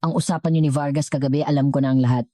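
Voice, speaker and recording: A woman storyteller plays a stern old company chairman, a wounded father who speaks gravely and deliberately. The voice is low and mellow, recorded dry, as in an audio storybook. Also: steady tone, no room sound, lightly acted. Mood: accusing.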